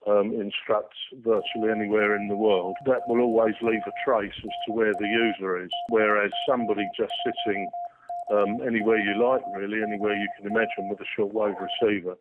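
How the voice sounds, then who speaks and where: A man's voice: lowish voice, level tone, heavy background noise. Hesitant man on a tinny phone line. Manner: hesitant, halting, with many filled pauses. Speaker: radio enthusiast interviewee. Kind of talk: spontaneous interview explanation.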